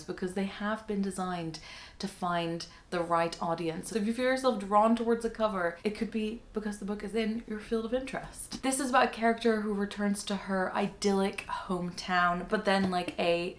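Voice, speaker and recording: A woman's voice: mid-range voice, steady tone, moderate pace. A young woman from the Netherlands, a native Dutch speaker, talking casually and chattily to viewers in a book haul vlog. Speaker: book reviewer and video host.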